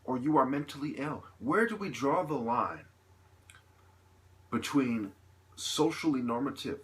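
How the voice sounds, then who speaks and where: A man delivering an impassioned, unscripted opinion monologue as a vlogger, with halting phrasing, repeated points and rhetorical questions. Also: medium pitch, animated.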